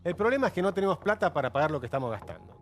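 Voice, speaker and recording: A man's voice: a little high, sing-song, very fast, soft background music. A man with an Argentine accent, an insistent panelist arguing economics on a television debate. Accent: Argentine Spanish. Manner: insistent, argumentative.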